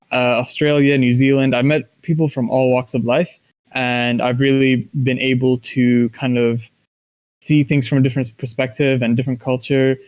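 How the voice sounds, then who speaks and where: Male interview guest from Kuwait, mid-pitched, conversational, halting delivery. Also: clean tone, highs cut off. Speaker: interview guest, esports professional.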